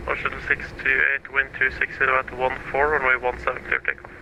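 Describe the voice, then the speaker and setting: A man's voice: medium pitch, monotone, fast talker, sharp tone. A man working as an air traffic controller, giving clipped, formal procedural instructions over aviation radio. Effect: aviation radio transmission. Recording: muffled, noisy aviation radio channel.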